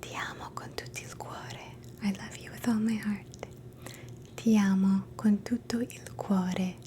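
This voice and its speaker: A woman in a soft, gentle, mostly unvoiced whisper, slowly teaching phrases in a relaxing language lesson and repeating each one. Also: mid-range voice, steady tone. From Canada. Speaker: ASMR host teaching phrases.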